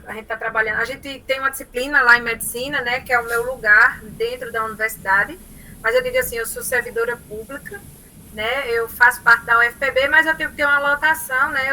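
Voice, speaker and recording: A woman lecturer with a Northeastern Brazilian accent from Paraíba, giving an online class in an animated, storytelling way and often tagging her sentences with "né?". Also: a little high, low-quality recording. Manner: animated storytelling, frequent "né?" tags. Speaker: university lecturer.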